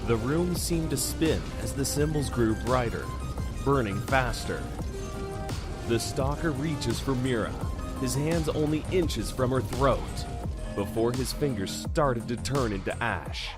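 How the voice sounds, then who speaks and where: Man, horror story narrator, scripted delivery, medium pitch, music behind. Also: animated, measured pace.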